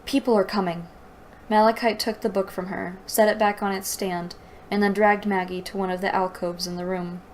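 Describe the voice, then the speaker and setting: A woman's voice: medium pitch, little pitch movement, moderate pace. Sarcastic woman reading aloud over background noise. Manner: sarcastic, exasperated asides. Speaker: host reading and critiquing a fantasy novel aloud. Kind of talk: read-aloud with sarcastic commentary.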